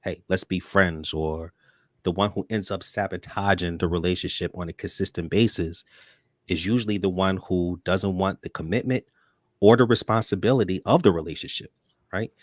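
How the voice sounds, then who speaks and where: A man with a very low voice hosts a live talk show in a loose, chatty way, dropping casual slang as he talks. The sound is dry and close, with no room around it. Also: normal speed, no room sound. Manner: relaxed, conversational, slangy. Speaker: host.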